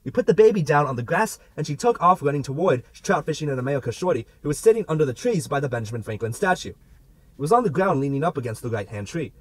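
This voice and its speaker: A man's voice: a little high, very animated, quick pace, no room sound, rough recording. A man narrating a literary novel as an amateur audiobook reader, with a theatrical delivery.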